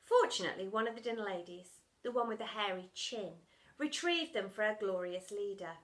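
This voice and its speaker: A woman reading a children's storybook aloud like a teacher, dramatic and expressive, punching the sound words. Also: mid-range voice, brisk.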